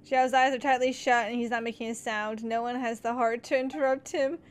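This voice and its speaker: High-voiced expressive woman gamer. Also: brisk, a little hiss or hum. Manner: giggly, casual, expressive. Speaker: gaming streamer. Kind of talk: playthrough commentary, reading game dialogue aloud. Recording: game music behind the voice.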